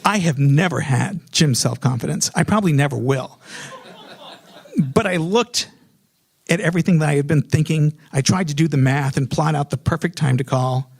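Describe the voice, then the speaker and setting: A man's voice: a little high, moderate pace, creaky, slightly rough. A middle-aged American man telling a personal story in a comedic, self-mocking way, animatedly acting out remembered dialogue.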